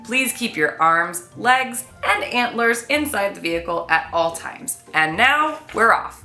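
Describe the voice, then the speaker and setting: A woman voicing an orphan baby kitten who plays a pompous, excitable safari guide in a children's storybook. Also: medium pitch, measured pace, echoey room, faint music behind. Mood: grand, excited. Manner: theatrical tour-guide patter, exclaiming.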